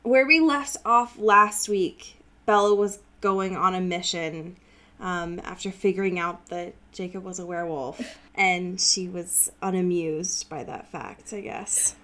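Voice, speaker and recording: A woman's voice: fairly low pitch, measured pace, pushed and bright. Wry woman chatting casually. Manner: informal, wry, acts out characters' lines in slangy paraphrase. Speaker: podcast co-host. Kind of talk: casual book discussion, recapping chapters.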